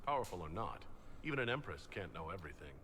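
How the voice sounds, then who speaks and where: Resolute game protagonist, courteous, lively pitch movement, quick delivery, roomy echo. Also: male voice, a little hiss or hum. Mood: polite, candid. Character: polite, resolute male hero and leader. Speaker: player character in a fantasy video game. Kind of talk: scripted video game dialogue.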